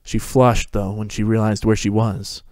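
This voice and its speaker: A man's voice: lowish voice, level tone, moderate pace, darkish tone, no echo. A man hosting and narrating a dramatic reading of a fan fiction story.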